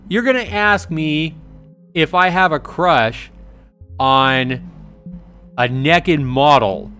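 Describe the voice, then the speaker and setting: An animated man hosts a casual game livestream over background music. His mid-pitched voice swings widely as he reads out dialogue and exclaims to his viewers. He talks to the chat directly and informally. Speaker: livestream host playing a visual novel.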